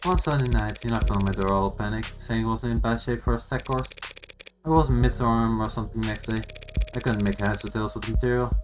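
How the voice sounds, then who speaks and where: A man's voice: lowish voice, steady tone, fairly quick, natural voice, poor audio. A man voicing a guarded, sardonic lawyer in a game, rambling drily through old memories. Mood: wry reminiscing. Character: wry, cynical, guarded lawyer telling old stories. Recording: music behind the voice.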